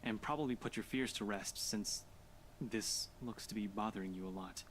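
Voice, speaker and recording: A man voicing a skeptical, rational young man in a visual novel game, speaking in a calm, matter-of-fact and dismissive way. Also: fairly low pitch, moderate pace, slight background noise, close to an everyday voice.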